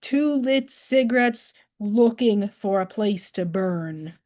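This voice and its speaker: Woman poet on a video call, mid pitch voice, reads her poem then talks straight about writing. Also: lively intonation, slow pace, darkish tone. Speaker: poet reading at an open mic. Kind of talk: poetry reading and casual chat.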